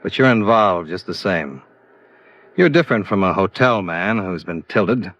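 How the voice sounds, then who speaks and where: An actor in a 1950s radio drama playing a blunt, hard-boiled insurance investigator who talks in a terse, matter-of-fact way. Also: male voice, low voice, expressive, unhurried, fairly bright tone, no echo. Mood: pressing, blunt. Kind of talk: 1950s radio detective acting. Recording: dull vintage radio recording.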